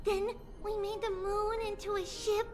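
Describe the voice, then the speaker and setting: Game role: innocent small girl, tiny high pitch, unhurried, earnest, music behind. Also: level tone. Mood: excited, dreamy. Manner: earnest, childlike, simple wide-eyed storytelling. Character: innocent, chatty little girl, young priestess-to-be. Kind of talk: voiced game cutscene dialogue. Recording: game audio under clear music.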